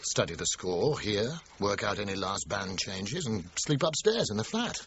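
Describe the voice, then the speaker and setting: An actor with a British accent playing a concerned, jittery musician friend in a radio drama. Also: male voice, lowish voice, animated, normal speed, lightly acted. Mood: uneasy. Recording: muffled, noisy radio drama recording.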